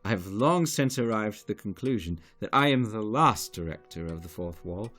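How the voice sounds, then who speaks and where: An actor playing a centuries-old director, courtly, stern and sceptical, with formal old-fashioned speech in an audio drama. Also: male voice, fairly low pitch, animated, normal speed, a bit bright, soft background music.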